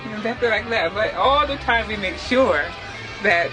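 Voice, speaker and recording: A woman's voice: mid-range voice, lively intonation, normal speed, low-quality recording. A woman from Barbados, a theater makeup supervisor chatting step by step about her work as she demonstrates it. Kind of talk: casual demonstration and storytelling. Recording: music playing under the voice. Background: background music.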